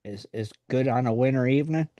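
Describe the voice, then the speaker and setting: A man's voice: mid-range voice, fairly quick, mellow, no echo, dull audio. A man in his sixties hosting a live online radio chat, joking and laughing often. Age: 60s. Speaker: radio show host.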